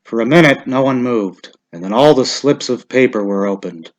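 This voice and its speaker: A man narrating a short story in a measured, expressive, suspenseful dramatic reading style. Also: medium pitch, poor audio.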